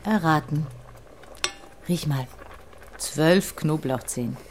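Low-voiced, wry middle-aged wife. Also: female voice, slow pace, slight background noise. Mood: wry, teasing. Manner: dry, probing, intimate. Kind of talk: naturalistic radio drama acting.

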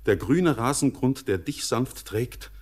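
An actor playing a restless young miner who speaks in a brooding, hushed way in a classic radio play. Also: male voice, medium pitch, expressive, a little slow. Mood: haunted.

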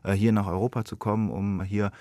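A man's voice: on the low side, fairly even intonation, fairly quick, a little mellow, dry recording. A man speaking as an interview guest, explaining his work thoughtfully and often interrupting himself to rephrase. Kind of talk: reflective spoken interview. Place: radio studio.

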